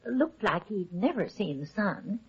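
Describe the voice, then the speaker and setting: Husky, swooping, folksy country wife. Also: female voice, mid-range voice, big pitch swings, measured pace, full character voice. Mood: chatty. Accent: rural American. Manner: folksy, rambling, hesitant. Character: chatty, homey, naive country farm wife. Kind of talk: old-time radio drama acting. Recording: muffled old radio recording.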